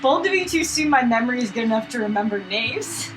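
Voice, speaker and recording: A joking, animated woman co-hosting a let's play in casual chat, with music behind. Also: on the high side, fast, rough recording. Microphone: not the usual mic, which failed. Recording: game music behind, backup mic.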